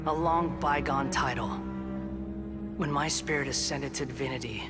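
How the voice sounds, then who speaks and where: A man's voice: medium pitch, over loud music, natural voice. A man playing an arrogant, power-drunk young god, haughty and theatrical, in a video game cutscene. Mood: grandiose. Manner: haughty, theatrical, slow deliberate delivery.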